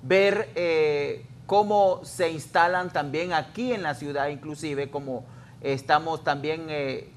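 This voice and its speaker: Hesitant police official, slightly high voice. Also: male voice, fairly even intonation, slight background noise. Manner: measured, hesitant with many fillers. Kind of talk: interview, official explanation.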